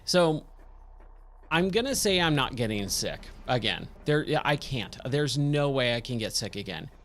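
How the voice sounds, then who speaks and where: A man with a slightly hoarse, scratchy voice giving casual, rambling game commentary and thinking aloud as he plays. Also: mid-range voice, big pitch swings, measured pace, fairly bright tone. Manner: rambling, self-questioning. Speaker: game streamer, host of a let's play.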